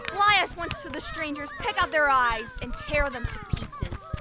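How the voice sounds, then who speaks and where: A woman's voice: very high-pitched, huge pitch swings, measured pace, very noisy, full character voice. A woman playing a wicked, hot-tempered witch in a theatrical audio play, commanding and imperious. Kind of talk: stage audio play acting. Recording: live stage show with music underneath.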